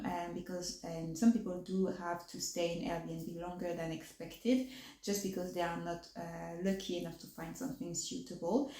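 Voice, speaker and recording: A French woman chats to camera in English, her second language, hesitating often with fillers and restarts, her speech a little thick from braces. Her voice is lowish and mellow. The room around her rings with echo. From France. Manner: articulation a little off from new braces. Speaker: vlogger. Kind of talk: casual chat to camera.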